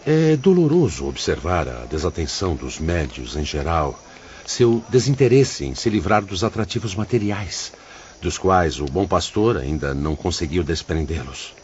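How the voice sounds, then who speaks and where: A man's voice: low-pitched, sing-song, moderate pace, a little mellow, a little hiss or hum. A man playing a grave, fatherly spirit mentor in an audiobook drama, speaking in a solemn, preacherly manner. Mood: grave, admonishing. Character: grave, fatherly male spirit mentor instructing his pupils. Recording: dull lo-fi audio under music.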